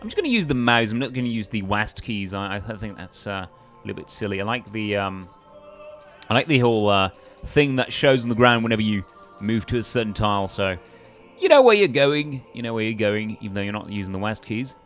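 A man's voice: on the low side, expressive, fairly quick. A man hosting a let's play, commenting casually in a hesitant, rambling way. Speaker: gaming video host. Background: faint game music.

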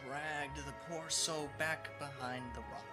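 A man's voice: on the high side, steady tone, slow. A man narrating a scripted story in a storybook style. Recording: loud background music.

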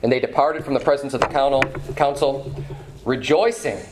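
A man in his thirties preaching a sermon from a pulpit, emphatic, repeating phrases and climbing in pitch when stirred. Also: fairly even intonation, brisk, noisy background. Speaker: pastor. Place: small church hall with banner, indoors. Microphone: far camera, at a pulpit.

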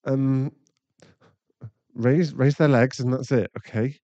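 British male, acting, caring young boyfriend for ASMR roleplay; soft-edged mellow tone, close dry sound. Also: mid-range voice, steady tone, takes it slowly, dry recording, highs cut off, lightly acted. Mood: anxious, shaken. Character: caring young boyfriend, chatty and easily flustered.

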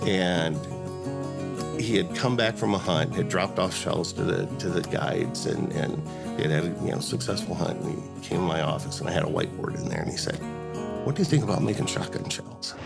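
A very creaky, halting American man recalling old business dealings for a company film, guitar loud underneath. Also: deep voice, animated. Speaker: business colleague interviewed. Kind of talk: casual storytelling interview. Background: guitar music.

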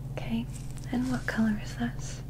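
A young woman doing a soft-spoken ASMR medical roleplay in a breathy whisper, with gentle, measured, clinical instructions. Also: fairly high pitch, little pitch movement, poor audio. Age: young adult. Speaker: ASMR performer playing an alien medical examiner. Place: small indoor set.

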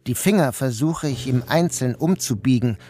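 A man's voice: medium pitch, expressive, normal speed, dark tone, soft background music, natural voice. An actor playing a troubled man who narrates his dreamlike ordeal in a radio play. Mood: grave, intense. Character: troubled male first-person narrator recounting a dreamlike ordeal. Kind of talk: first-person audio drama narration.

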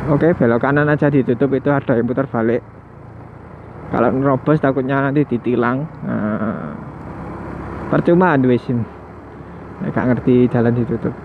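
A man's voice: mid-range voice, steady tone, normal speed, long pauses. A man riding a motorbike at night, chatting casually to his viewers through a headset mic and musing aloud with the odd chuckle. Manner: chatty, muses aloud, chuckles now and then. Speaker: motovlogger. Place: on a motorbike on night city streets. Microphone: headset mic worn while riding. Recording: tinny helmet mic with loud road noise.

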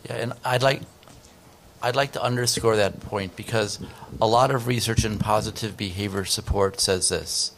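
A man's voice: fairly low pitch, fairly even intonation, moderate pace, fairly bright tone, a little hiss or hum. A man in his fifties, a researcher, explaining earnestly on a discussion panel at a desk microphone in a conference room. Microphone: desk microphone on stand.

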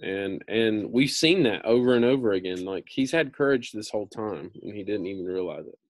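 A man's voice: fairly low pitch, lively intonation, brisk, no room sound. A man in his thirties reading a children's book aloud, with casual, explanatory asides and some repetition. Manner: casual, explanatory, repeats himself. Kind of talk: read-aloud storytelling with informal explanatory asides.

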